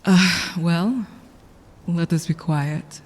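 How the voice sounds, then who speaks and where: A woman playing a formal, wry vampire knight in a tabletop game, speaking in deliberate phrases with a creaky voice. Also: on the low side. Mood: wry, guarded. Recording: long reverb.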